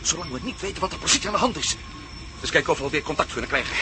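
An actor in a radio drama playing an eager, inquisitive adventurer. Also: male voice, fairly high pitch, expressive, rapid-fire, music in the background, close to an everyday voice. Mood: pondering.

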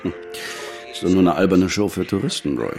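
A sober police detective reasons methodically in a radio play. His voice is very deep and gravelly, with a dry delivery over faint music. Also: animated, fairly quick, a little mellow. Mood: dismissive. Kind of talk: German radio drama dialogue.

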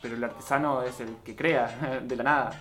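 A man's voice: medium pitch, steady tone, fairly quick, fairly bright tone, soft background music. An Argentine man speaking as a panelist in an informal radio discussion, hesitant and searching for his words.

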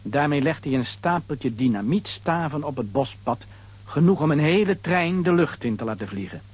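A man with a husky voice narrating a scripted children's adventure story. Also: on the high side, lively intonation, moderate pace, a little mellow.

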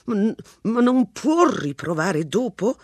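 A reader voicing a flustered, anxious man who pleads politely, in a husky voice. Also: medium pitch, very animated, slow pace, dry recording, voice pushed up. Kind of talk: audiobook narration.

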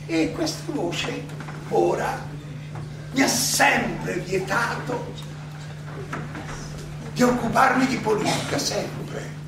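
A man acts out an aging philosopher addressing his judges in a slow theatrical monologue. He is halting and rhetorical, pausing and repeating himself for weight. The voice is gravelly and comes from far off in a noisy, reverberant space. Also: very high voice, lively intonation, exaggerated voice. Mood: earnest, reflective. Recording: distant, very echoey, noisy stage recording.

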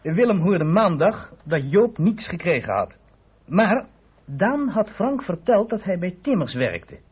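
Police inspector, radio play: husky, animated explainer, thin old recording. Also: male voice, sits high, unhurried, phone-quality sound, full character voice. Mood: amused, explanatory. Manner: explanatory storyteller, chuckling asides. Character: police inspector patiently explaining a diamond theft. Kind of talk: Dutch radio play acting.

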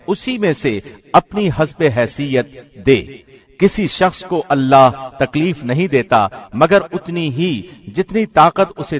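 Guy reading scripture translation, formal radio presenter style, measured, slightly high voice. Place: studio.

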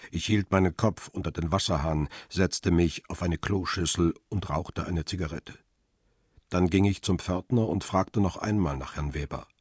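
A betrayed photographer telling his story in a radio crime drama. His voice is very deep and husky, close and dry. He is brooding and bitter, reflecting in measured sentences. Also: darkish tone, no room sound. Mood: brooding, shaken. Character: bitter, betrayed male paparazzo narrating his own story. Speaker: first-person narrator.

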